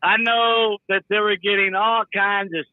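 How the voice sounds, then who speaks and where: Guest, male, upbeat raconteur, slightly high voice, tinny phone-like sound. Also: measured pace, no echo. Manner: animated, quotes people in his stories. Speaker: guest musician. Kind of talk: casual storytelling.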